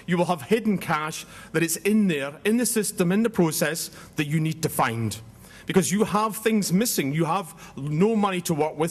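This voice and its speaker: A Scottish man giving a seminar talk, loud and jokey, putting questions to the audience. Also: fairly high pitch, slightly rough. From Scotland. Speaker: conference speaker. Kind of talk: seminar presentation.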